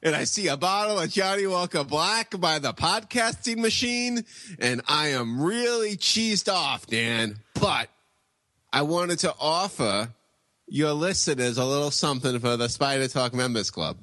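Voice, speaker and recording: A man's voice: a little high, lively intonation, unhurried, pushed and bright, dry recording, studio quality. A man voicing a boorish, hard-drinking grown male bully with a brash, swaggering drawl in a podcast comedy bit. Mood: grumpy.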